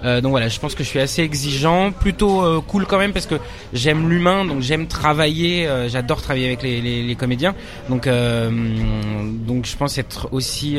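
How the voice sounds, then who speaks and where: A young man from France, an actor and stage director, answering interview questions with many fillers and restarts. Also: fairly high pitch.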